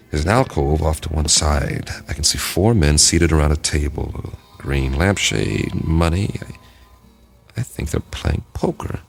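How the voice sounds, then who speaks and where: A man acting in a radio drama as a curious, excitable expedition leader who muses aloud and haltingly as he explores. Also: very deep voice, creaky, exaggerated voice. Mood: hushed wonder. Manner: musing aloud, halting, wondering. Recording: music behind the voice.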